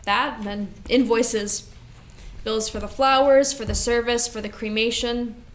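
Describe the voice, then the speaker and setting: A woman's voice: on the high side, fairly even intonation, unhurried, lightly acted. A woman playing a responsible, composed elder sister grieving her mother in a staged play reading. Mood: guarded, tense.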